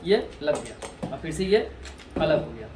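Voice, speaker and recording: A man's voice: on the high side, lively intonation, a little slow. A man casually presenting a product unboxing, informal and repetitive as he works, recorded outdoors on a porch from a camera a few metres away. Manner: informal, hands-on, repeating himself while handling items. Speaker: product reviewer. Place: outdoor porch by house steps. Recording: roomy, distant mic, clearly noisy, clicks.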